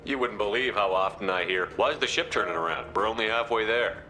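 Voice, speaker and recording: A man's voice: fairly low pitch, fairly quick, pushed and bright, slight background noise, poor audio. A man playing a confident, wry military commander in a video game, with a direct, casual and dry way of talking. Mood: inquisitive, dry.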